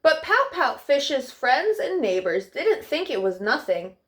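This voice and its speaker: A woman hosting children's storytime, expressive and affectionate, speaking simply as she would to young listeners. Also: sits high, measured pace. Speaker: storytime host reading to children. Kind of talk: animated children's storybook reading and friendly address.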